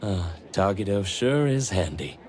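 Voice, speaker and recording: A man's voice: fairly low pitch, animated, unhurried. A man playing a casual, easygoing companion in an anime game, speaking matter-of-factly. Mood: casual, amused. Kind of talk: anime game dialogue acting. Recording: faint music behind.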